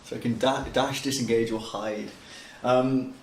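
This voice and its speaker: A man's voice: medium pitch, steady tone, a little slow, a little mellow. A man talking casually as a tabletop game player, hesitant and questioning. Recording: echoey room, faint noise, off-mic.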